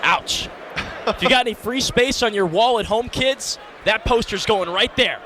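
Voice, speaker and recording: A man's voice: high-pitched, normal speed. A man giving conversational color commentary on a live basketball game, with wry, jokey asides. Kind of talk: conversational live sports analysis. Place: courtside in a large indoor arena. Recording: loud arena noise behind voice.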